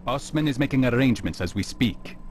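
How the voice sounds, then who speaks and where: An actor playing a stern, determined medieval assassin in a video game cutscene, speaking in a terse, measured way. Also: male voice, medium pitch, lively intonation, a little hiss or hum, lightly acted. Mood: calm, inquiring.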